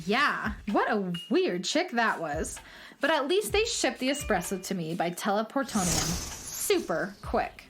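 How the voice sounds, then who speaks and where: An actress playing a brash, boastful, excitable young female space adventurer in a children's sci-fi radio comedy. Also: mid-range voice, a little slow, over music. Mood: busy, self-satisfied.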